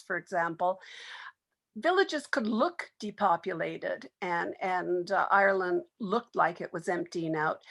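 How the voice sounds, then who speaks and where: A woman's voice: mid-range voice, fairly bright tone. A woman speaking as a literary expert in an interview, explaining her subject at length.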